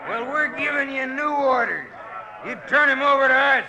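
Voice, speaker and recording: Very high, belligerent mob leader. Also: male voice, normal speed, lo-fi sound, heavily acted. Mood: menacing. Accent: American. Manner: belligerent, loud. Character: angry, threatening lynch-mob ringleader, a man. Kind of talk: 1950s radio western acting. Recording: noisy old outdoor-scene radio recording.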